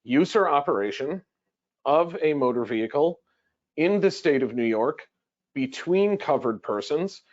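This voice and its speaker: A man's voice: fairly high pitch, lively intonation, measured pace. Man lecturing on dull, lo-fi webinar audio. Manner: conversational, explanatory, poses questions then answers them. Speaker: presenter of a legal webinar. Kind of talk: webinar lecture, explaining from notes.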